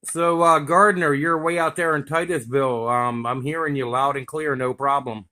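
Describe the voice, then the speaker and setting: A man's voice: fairly high pitch, fairly even intonation, moderate pace, pushed and bright. An American man chatting casually as an operator on two-way CB radio.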